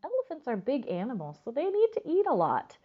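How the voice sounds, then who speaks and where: Expressive, animated woman reading a storybook. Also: high voice, brisk, dull audio. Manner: expressive, stretches words for emphasis. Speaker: storytime reader. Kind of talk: children's storybook read-aloud with asides to listeners.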